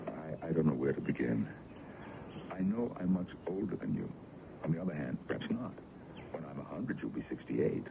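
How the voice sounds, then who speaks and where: An actor playing an older colonel and ardent, worldly suitor in an old-time radio drama, with a courtly, theatrical delivery. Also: male voice, a bass voice, very animated, moderate pace, vocal fry, exaggerated voice. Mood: earnest, tender. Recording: dull, noisy vintage radio recording.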